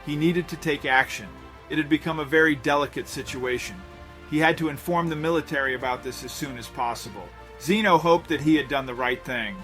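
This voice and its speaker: A man with a slightly high voice reads a scripted story as a dramatic narrator. His delivery is measured and builds suspense, with faint music playing underneath. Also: a bit bright. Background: background music.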